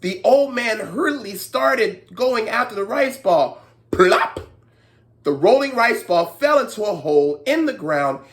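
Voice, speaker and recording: A woman telling a story to young children, expressive, with playful asides and exclamations. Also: medium pitch, a little slow.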